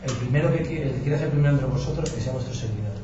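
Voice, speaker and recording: A journalist from giving an informal talk to an audience, colloquial and anecdotal, with frequent restarts. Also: male voice, mid-range voice, racing. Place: meeting room with audience. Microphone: distant room mic. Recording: echoey, distant, dull with noise.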